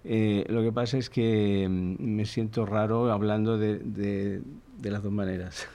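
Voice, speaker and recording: A man in his sixties from Chile, answering interview questions in a thoughtful, hesitant way and often pausing to search for words. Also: on the low side, fairly even intonation, warm tone. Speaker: guest, father of a large family.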